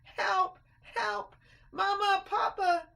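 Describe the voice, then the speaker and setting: A woman voicing a timid, anxious little boy mouse in a children's storybook, pleading in a high voice. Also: lively intonation, slow pace, fairly bright tone. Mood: panicked.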